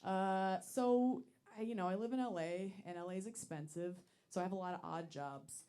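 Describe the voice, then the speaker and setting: Deadpan woman comic with a deep voice. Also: normal speed, smooth. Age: late 20s. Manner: deadpan, self-deprecating, with many ums. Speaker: stand-up comedian. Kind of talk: stand-up set. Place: comedy club stage.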